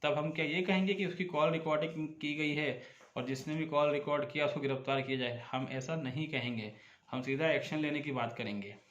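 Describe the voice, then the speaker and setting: Higher-voiced man, echoey room. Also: fairly even intonation, a little fast, fairly bright tone, highs cut off. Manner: argumentative, emphatic, poses rhetorical questions. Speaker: advocate giving legal advice as channel host. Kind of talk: informal legal explainer addressed to viewers. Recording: echoey, roomy sound.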